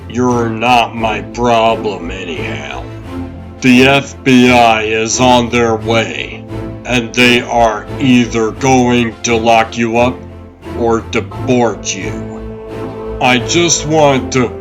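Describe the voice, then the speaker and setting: A man playing a dogged, world-weary police detective who needles calmly in a comic dub. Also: mid-range voice, slow, close to an everyday voice. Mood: calm, needling. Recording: music behind the voice.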